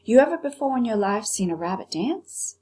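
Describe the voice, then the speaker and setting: A woman's voice: on the high side, very animated, brisk, mellow, slightly rough, voice pushed up. A woman voicing a poor, polite street boy from a storybook. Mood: pleading. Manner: plain, deferential, folksy. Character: poor street boy, polite, humble, folksy Southern kid.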